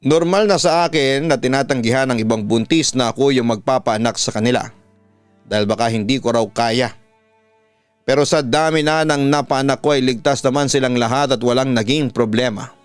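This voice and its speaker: A Filipino man narrates in the style of a radio storytelling host. His voice is smooth and resonant, recorded close and dry, with music playing underneath. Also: medium pitch, no echo. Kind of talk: dramatic narration of listener letters.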